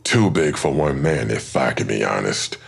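Very deep, gravelly male detective. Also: animated, moderate pace, soft background music, full character voice. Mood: terse, serious. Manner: terse, matter-of-fact. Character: gruff, matter-of-fact male police detective. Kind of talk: full-cast audio drama acting.

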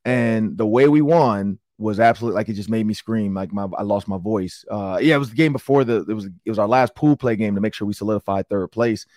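A middle-aged man with an Upper Midwest American accent hosts a sports talk podcast, his voice hoarse and raspy from yelling, and he tells stories in a rambling, excitable way. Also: fairly low pitch, brisk, hardly any pauses, no echo, clean studio sound. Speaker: sports talk show host. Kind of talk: casual podcast chat.

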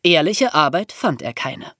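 Animated podcast storyteller with a dry, close sound. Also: medium pitch, measured pace, no room sound. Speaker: podcast presenter. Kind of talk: explanatory storytelling in a history podcast.

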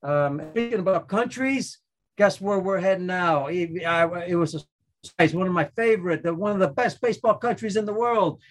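A man's voice: high voice, moderate pace. A man hosting a podcast, chatting upbeat and rambling, often restarting his sentences. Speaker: podcast host. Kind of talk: casual chat.

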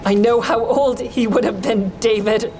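An actress playing a bitter, grieving mother who is cold and unforgiving toward her ex-husband, in an audio drama with intense, deliberate delivery. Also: on the high side, steady tone, dark tone, soft background music, lightly acted. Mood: curt, guarded. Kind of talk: full-cast audio drama, first-person narration and dialogue. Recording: clearly noisy outdoor traffic ambience.

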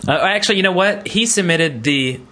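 A man's voice: high voice, animated, a little fast, pushed and bright. A man hosting a gaming podcast in casual, chatty talk, often hedging what he says. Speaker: podcast host.

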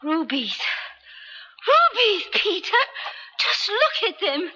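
A woman's voice: very high-pitched, very animated, takes it slowly, bright, forceful tone, full character voice. An actress playing a naive, eager, feisty young local woman in a radio drama, talking in simple, emphatic phrases. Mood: awed. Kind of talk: 1970s American radio drama acting. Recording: dull vintage radio recording.

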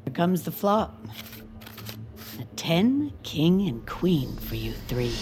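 A woman's voice: sits low, very animated, slow, darkish tone, soft background music, heavily acted. An actress playing a composed woman dealer who calls the cards with familiar ease in an audio drama. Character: composed, unhurried woman dealer running a poker game. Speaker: card dealer character.

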